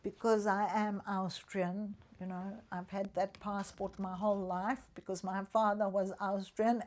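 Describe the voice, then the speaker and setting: Older woman, mid-pitched, unhurried, candid chatty vlogger. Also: a little mellow. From South Africa. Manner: chatty and candid, talks frankly about money. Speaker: retiree vlogger. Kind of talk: casual personal vlog.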